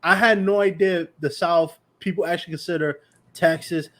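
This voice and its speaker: A man's voice: a little high, steady tone, moderate pace, a bit bright. A man from New York hosting an interview, asking curious, rambling questions full of restarts and fillers. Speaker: podcast host. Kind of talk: casual interview conversation.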